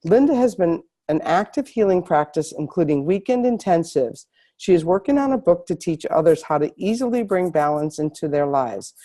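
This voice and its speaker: Woman, host, low voice, mellow tone, hoarse from a cold, halting online chat. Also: lively intonation. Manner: halting, with many restarts. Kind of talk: online symposium interview.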